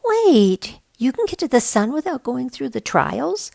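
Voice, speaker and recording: A woman reader plays an incredulous storybook kid on a quest. The voice jumps up high and swings in pitch, questioning everything with a husky edge. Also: big pitch swings, a little slow, mellow, heavily acted.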